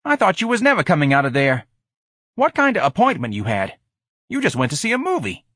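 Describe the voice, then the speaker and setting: A man's voice: medium pitch, big pitch swings, measured pace, bright, forceful tone, slightly rough, no room sound, studio quality, voice pushed up, full character voice. Man doing a surly, sneering young tough. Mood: sneering impatience. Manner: slangy, sneering, ungrammatical. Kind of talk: audiobook character voice.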